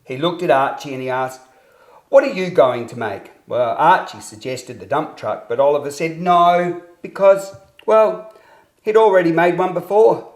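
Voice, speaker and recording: A man reading a scripted children's story as storyteller, with expressive, deliberate phrasing in a roomy, echoing space. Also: a little high.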